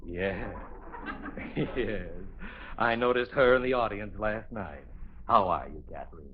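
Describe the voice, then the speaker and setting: An actor playing a genial, self-assured movie talent scout in 1950s radio comedy, with a smooth drawling manner. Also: male voice, on the low side, slow pace. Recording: muffled, noisy old radio broadcast.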